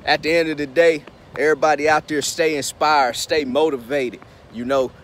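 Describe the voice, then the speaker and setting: A man's voice: fairly high pitch, steady tone, moderate pace, slight background noise. A man in his thirties from Indiana, giving an upbeat, preachy pep talk while filming himself on a phone held at arm's length, outdoors and in a truck. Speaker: vlogger and business mentor. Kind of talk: casual motivational vlog talk. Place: outdoors by schools, then inside a pickup truck. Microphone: phone camera at arm's length.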